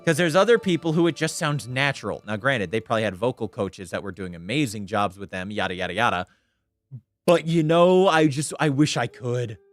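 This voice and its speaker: Clear-voiced man chatting on stream. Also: medium pitch, lively intonation, brisk, dry recording, soft background music. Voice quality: clear voice without gravel. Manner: rambling, candid, swears freely. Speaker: VTuber streamer. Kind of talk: casual livestream chat.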